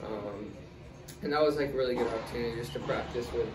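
A young man answering interview questions in a relaxed, casual way, full of fillers. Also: medium pitch, fast. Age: young adult. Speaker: guest, tennis player. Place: small room, in doors, seated in armchair. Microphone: far camera. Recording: roomy, distant, noisy.

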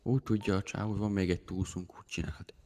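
A man's voice: low voice, fairly even intonation, dark tone. A man chatting casually as a fellow player in an online roleplay game, blunt and given to swearing.

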